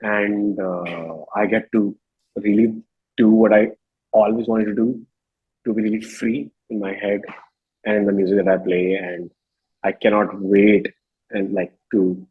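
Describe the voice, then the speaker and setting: A man's voice: lowish voice, little pitch movement, a little slow, husky, narrow, tinny audio. An Indian-accented man in his thirties speaking informally and reflectively in a casual interview, with plenty of verbal tics like "you know". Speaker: singer-songwriter being interviewed.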